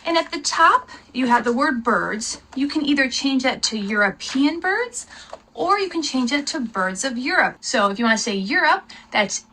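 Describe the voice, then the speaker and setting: A woman's voice: high voice, lively intonation, moderate pace, sharp tone, slight background noise, low-quality recording. A woman hosting a live lesson for children, explaining things instructively, spelling out words and speaking directly to her viewers. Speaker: homeschool teacher, lesson host.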